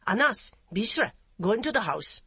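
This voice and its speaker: A woman's voice: mid-range voice, big pitch swings, normal speed, pushed and bright, husky, no echo, exaggerated voice. A woman doing a stern domineering mother-in-law. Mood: stern commanding. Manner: commanding and curt.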